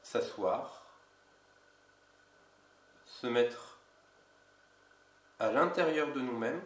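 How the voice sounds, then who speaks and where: A man with a cold, an energy healer giving a casual instructional video in a slow, halting way. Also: medium pitch, expressive, recorded from a distance. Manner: slow, halting, with many pauses. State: has a cold. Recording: roomy echo, away from mic.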